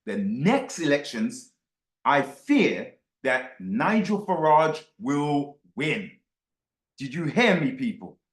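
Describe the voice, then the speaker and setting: A man's voice: a little high, very animated, slow pace. A man giving impassioned off-the-cuff commentary to his followers, emphatic, repeating phrases and throwing rhetorical questions at listeners. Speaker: book club host. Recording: roomy, echoey sound.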